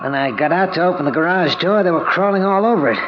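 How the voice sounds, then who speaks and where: A man playing a loyal assistant in a 1940s radio drama, matter-of-fact and steady. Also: high voice, quick pace, dull audio, noisy background, lightly acted. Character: loyal male handyman and assistant.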